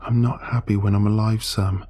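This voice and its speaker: A man's voice: fairly low pitch, level tone, moderate pace, warm tone, faint music behind, close to an everyday voice. A man voicing a troubled teenage schoolgirl who speaks with firm, weary resignation. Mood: resigned.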